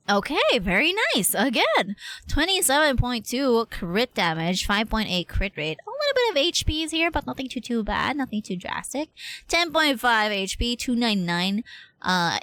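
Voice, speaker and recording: A woman's voice: a little high, fairly bright tone, dry recording. A woman livestreaming a game with casual, playful, slangy commentary, chatting to herself and to her viewers.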